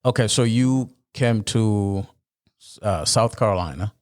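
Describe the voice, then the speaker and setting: A man's voice: lowish voice, measured pace, no room sound, studio quality. A man hosting a podcast, asking questions in a conversational interview style. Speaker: podcast host.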